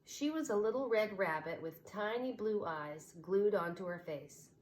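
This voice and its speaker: A woman teacher reading a children's novel aloud, expressive and changing voices for the characters. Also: mid-range voice, measured pace.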